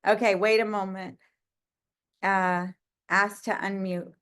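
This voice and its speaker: A woman hosts a casual video call. She has a loud voice and an effusive manner, often trailing off and restarting her sentences. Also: medium pitch, level tone, slow, smooth. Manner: effusive, hesitant, with many restarts. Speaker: host. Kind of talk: casual hosting chat.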